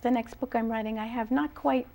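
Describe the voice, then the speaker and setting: Female interview guest, writer-composer; higher pitch with noticeable vocal fry, halting conversational delivery. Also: fairly even intonation, dark tone. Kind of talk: casual interview talk.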